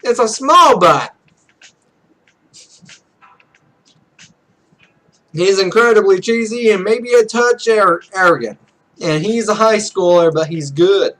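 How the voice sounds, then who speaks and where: High, animated young pro gamer, acted by a man. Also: normal speed, low-quality recording. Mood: hedging. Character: joking, cocky young male esports pro.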